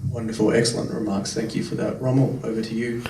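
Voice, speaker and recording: A man's voice: medium pitch, little pitch movement, fast, mellow. A man moderating a panel, friendly and encouraging as he hands over to speakers. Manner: friendly and encouraging, brief remarks. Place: large function room. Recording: echoey room, distant mic, faint hiss.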